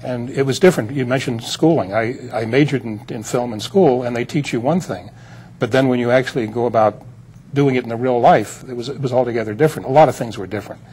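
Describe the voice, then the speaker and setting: A man's voice: medium pitch, fast, a little mellow, a little hiss or hum, poor audio. An older man being interviewed as a producer, reminiscing in a rambling, anecdotal way. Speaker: interview guest, film producer.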